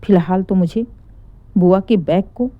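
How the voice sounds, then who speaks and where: A woman's voice: medium pitch, unhurried, no room sound, dull audio, close to an everyday voice. A woman voicing a stubborn, aggrieved young daughter-in-law, insistent and accusing, in a family story. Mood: defiant.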